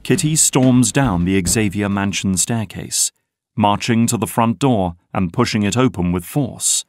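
A man's voice: lowish voice, a little slow, no room sound, studio quality. A man delivering scripted narration for an audio drama, as its narrator.

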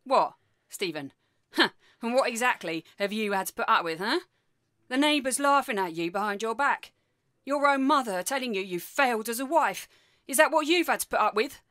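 An actress playing a bitter, snobbish middle-aged wife in an audio play, sarcastic and scornful. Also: female voice, on the high side, animated, moderate pace, a bit bright, dry recording, studio quality. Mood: hurt, bitter.

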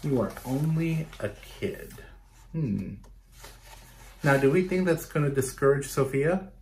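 A man in his thirties reading a picture book aloud for children, expressively, pausing to explain and ask questions. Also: medium pitch, animated, a little mellow, a little hiss or hum. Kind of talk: children's storytime read-aloud with asides and questions to young listeners. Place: small bedroom indoors.

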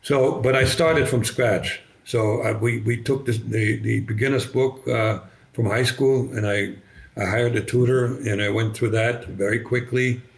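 Older man with a faint German accent, gravelly. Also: mid-range voice, steady tone. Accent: slight German. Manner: halting, many restarts and fillers. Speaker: podcast guest. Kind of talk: conversational storytelling. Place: bright living room, indoors. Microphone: video call webcam.

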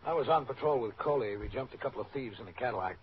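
A male actor plays a police captain giving things in a steady, factual way, in vintage radio drama style. His pitch is middling. The recording is dull, with faint noise behind it. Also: very fast, lightly acted. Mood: businesslike. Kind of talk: scripted old-time radio police drama, factual report.

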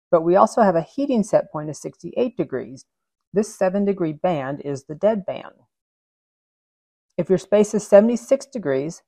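A woman's voice: low-pitched, dry recording. A woman in her forties, the host of an HVAC channel, explaining a control concept step by step over whiteboard drawings in a home office. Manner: clear, steady step-by-step explaining. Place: home office with shelves and neon sign.

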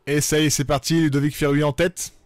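A man giving relaxed live commentary on a sim racing event, with many hesitations and fillers. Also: on the high side, fast talker, no room sound.

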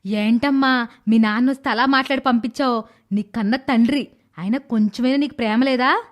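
A woman's voice: fairly high pitch, moderate pace, close to an everyday voice. A woman voicing a kindly, indulgent middle-aged mother-in-law in a dramatised moral story, with a coaxing manner. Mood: reproachful. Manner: coaxing, placating.

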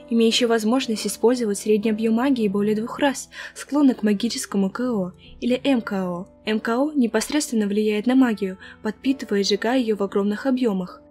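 Woman playing monster doctor, caring, measured, explanatory, higher-pitched, comic dub. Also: darkish tone, slightly rough.

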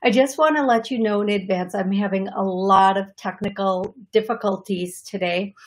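A middle-aged woman with a raspy voice from allergies, hosting her psychic medium show in a chatty, apologetic way from her living room. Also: mid-range voice, moderate pace. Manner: chatty, apologetic, laughs often, gestures with hands. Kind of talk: solo livestream talk to viewers. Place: living room, seated on leather couch.

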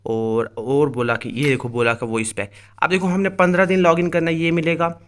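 A man with a slightly high voice gives a casual tutorial over mobile gameplay. He talks straight to his viewers as a channel host, explaining each step and often checking that they follow. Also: level tone, fairly quick.

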